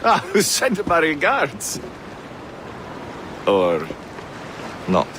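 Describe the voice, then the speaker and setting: Male naval officer, formal, gracious, animated intonation, video game cinematic, noisy boat ambience. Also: on the high side, drawn-out words, full character voice. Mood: courteous. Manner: formal, polite. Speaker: game character actor.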